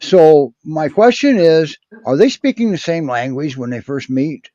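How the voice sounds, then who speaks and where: A man co-hosting a live show, talking in halting bursts with many restarts. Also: on the high side, expressive, mellow, no room sound. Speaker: co-host. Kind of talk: casual chat on a live show. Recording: dry muffled lo-fi sound.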